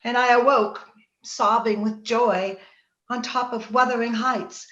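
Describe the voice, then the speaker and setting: Woman acting high-pitched young gentlewoman, proud, theatrical, romantic, radio play, roomy and slightly dull recording. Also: steady tone, measured pace. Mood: wistful, torn. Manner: theatrical, emotional, haughty. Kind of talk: old-time radio drama acting. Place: indoor room, recorded remotely over video call.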